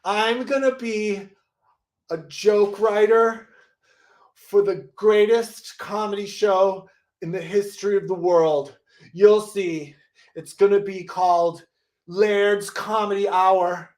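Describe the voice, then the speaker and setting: A man acts an earnest son with big dreams in a comedy sketch. He sounds stubborn and declaims his words deliberately. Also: high voice, fairly even intonation, darkish tone. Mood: defiant.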